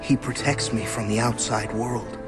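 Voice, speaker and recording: An actor playing a gentle, sheltered young man in a video game, meek, hesitant and apologetic. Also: medium pitch, fairly even intonation, moderate pace, long reverb tail, natural voice. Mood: meek, trusting. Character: timid, gentle, sheltered young male bell-ringer, self-doubting. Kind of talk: video game cutscene dialogue. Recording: game audio with loud music behind.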